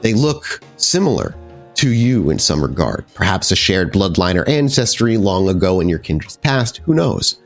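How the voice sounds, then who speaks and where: A man's voice: sits low, very animated, normal speed, soft background music. A man narrating a tabletop horror game as storyteller, improvising descriptions in a conversational way with some casual swearing.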